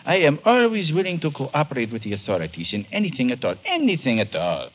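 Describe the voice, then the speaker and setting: Imperious plantation owner, noisy old recording. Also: male voice, medium pitch, huge pitch swings, fast talker, lo-fi sound. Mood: courtly, obliging. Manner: florid formal courtesy, bossy to servant. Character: fat, indolent plantation owner, courtly and imperious. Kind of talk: old-time radio drama acting. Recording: noisy vintage radio recording.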